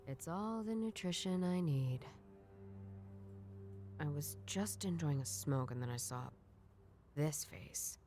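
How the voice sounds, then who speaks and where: A woman playing a jaded, sardonic detective in a noir video game, musing in a dry, weary deadpan. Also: low-pitched, slow pace, pauses often, over music. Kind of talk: video game voice acting, inner monologue.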